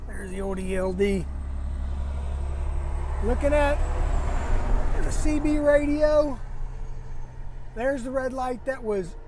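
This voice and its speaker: A man's voice: very high-pitched, slow, long pauses. A man telling a story casually, in a plainspoken, folksy way, as a trucker vlogger. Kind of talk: casual first-person storytelling to camera. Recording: noisy, vehicle and outdoor ambience.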